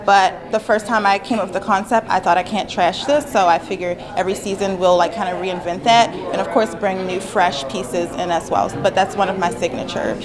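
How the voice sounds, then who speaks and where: A woman fashion designer answering interview questions in a relaxed, thoughtful way, with many fillers. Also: mid-range voice, fairly quick, hardly any pauses, a crowd in the background. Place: fashion presentation event. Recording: music playing behind. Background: music.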